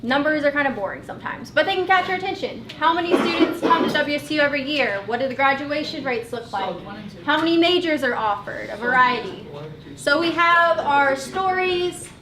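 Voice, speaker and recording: A woman teacher leads her college class in an upbeat, encouraging way, walking students through ideas step by step. Her voice sits a little high and carries across a roomy classroom. It is picked up from some distance, with room noise behind her. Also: expressive, a little fast, a bit bright. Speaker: college communication instructor.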